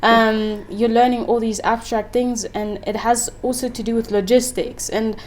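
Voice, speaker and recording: A woman's voice: a little high, little pitch movement, normal speed. Candid young woman, French-native guest. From Congo. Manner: candid, laughs often, frequent fillers. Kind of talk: casual interview chat.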